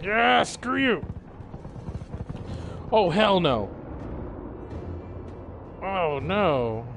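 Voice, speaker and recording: A man commentates live on a video game in an excitable, joking way with plenty of swearing. His voice sits fairly high and swings widely in pitch. Loud game music plays behind him. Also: very slow, vocal fry. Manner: excitable, jokey, exclaiming and swearing. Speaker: game streamer. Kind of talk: live gameplay commentary, reacting and reading chat. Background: video game music, gunfire.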